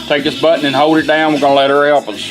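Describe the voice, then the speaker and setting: A man's voice: fairly high pitch, flat delivery, racing, faint music behind, low-quality recording. A man giving a casual, plainspoken hands-on product demonstration in a folksy way, taking it step by step. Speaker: presenter demonstrating a vintage truck radio. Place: inside a pickup truck cab.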